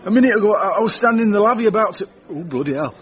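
A man's voice: sits high, lively intonation, rapid-fire. A man playing a fretful, good-natured everyman with a British accent in a comedy audio drama, fussy and exclamatory. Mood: bewildered, indignant. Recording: dull, slightly muffled recording.

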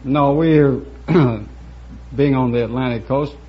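A man's voice: medium pitch, slow pace. Careful, dry American man, dull old recording. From Virginia, United States. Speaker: quiz show contestant. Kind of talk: answering interview questions. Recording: dull, noisy vintage broadcast recording.

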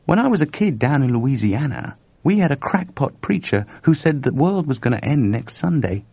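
A man's voice: medium pitch, big pitch swings, normal speed, gravelly, darkish tone, husky, no room sound, voice pushed up, full character voice. A man voicing a skeptical, grumbling male engineer in an audiobook story, wry and colloquial. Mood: reasoning, unbothered. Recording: dull lo-fi dry recording.

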